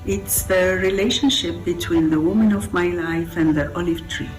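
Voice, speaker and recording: Algerian woman author, lowish voice, soft music underneath. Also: moderate pace, poor audio.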